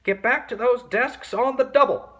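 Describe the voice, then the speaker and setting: Guy doing a scratchy mean witch teacher lady from a storybook, high and bossy, sharp. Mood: commanding. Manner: bossy, drawn-out delivery. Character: stern, menacing witchy substitute teacher woman.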